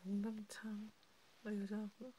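A woman whispers softly in an intimate, chatty ASMR role play. Her voice is very mellow and close to the mic. Clear background noise and small clicks sit under the words. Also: medium pitch, flat delivery, unhurried, low-quality recording. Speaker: ASMR creator.

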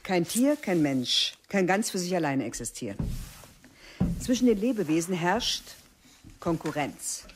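A woman's voice: on the low side, very animated, measured pace, a little hiss or hum. A woman playing a stern, cold aging biology teacher in a radio play, speaking in a curt, commanding way. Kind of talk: radio play narration and acting.

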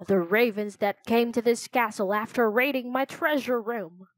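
A woman voicing a menacing half-man, half-bird villain king in a storybook, raspy, mocking and mock-polite. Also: a little high, dry recording, close to an everyday voice. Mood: demanding, sinister. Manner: slow, mock-polite, taunting.

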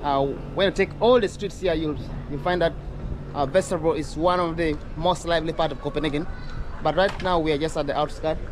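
A man's voice: a little high, fairly even intonation, brisk. A man from northern Uganda, vlogging casually as he walks through a street market, chatting with many fillers and restarts. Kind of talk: casual walking street vlog. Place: outdoor city street market. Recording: street noise behind outdoor voice.

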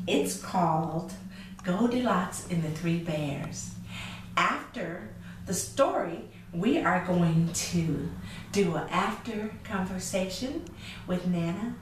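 A woman's voice: on the low side, lively intonation, slow. A middle-aged woman hosts a children's story reading, speaking expressively and directly to young listeners. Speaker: storyteller and children's show host. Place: indoor room with chalkboard backdrop. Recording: echoey room from a distance, faint noise.

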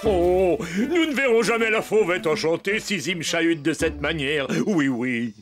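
An excitable, high-pitched cartoon policeman. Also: a little slow, over music. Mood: grumbling. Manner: theatrical, exclaiming, blustering. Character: excitable, pompous middle-aged policeman who loves birdwatching. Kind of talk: animated children's cartoon dubbing.

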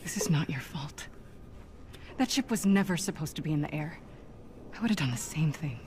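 A woman's voice: sits low, lively intonation, normal speed, darkish tone, a little hiss or hum. A woman playing a guarded, earnest spy superhero in a video game, serious and intense. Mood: earnest, concerned. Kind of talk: cinematic video game acting.

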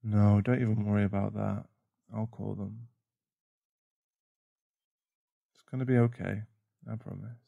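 A man's voice: fairly low pitch, steady tone, normal speed, creaky, no room sound, narrow, tinny audio. A man playing a protective, tender werewolf boyfriend in an ASMR roleplay, speaking in a soothing, reassuring manner. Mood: calm, reassuring.

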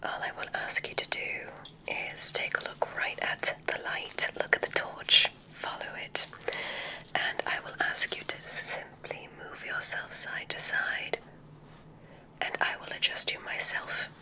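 A woman whispering gently and deliberately in an ASMR roleplay as a kindly witch examiner, giving soft instructions in a breathy voice. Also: poor audio.

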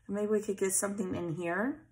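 A woman's voice: mid-range voice, steady tone, fairly quick, darkish tone. A woman hosting a casual scrapbooking video, chatting and thinking aloud as she arranges pieces at her craft table. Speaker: scrapbooking channel host. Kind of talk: casual craft tutorial chat. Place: craft table at home, overhead camera on hands.

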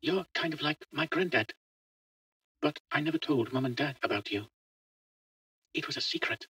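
A man's voice: fairly high pitch, sing-song, normal speed, bright, forceful tone, husky, dry recording, distant mic, voice pushed up, exaggerated voice. A man voicing a fearless, chatty eleven-year-old boy who talks simply and matter-of-factly in a story. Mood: reassuring. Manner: simple, childlike, matter-of-fact. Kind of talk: audio short story dialogue.

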